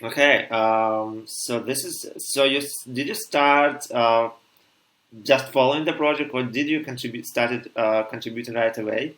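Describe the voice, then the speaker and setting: A man's voice: medium pitch, fairly even intonation, unhurried, fairly bright tone. A man hosting an interview over a video call, asking questions hesitantly and sometimes losing his train of thought. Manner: hesitant, loses track of questions. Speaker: talk show host.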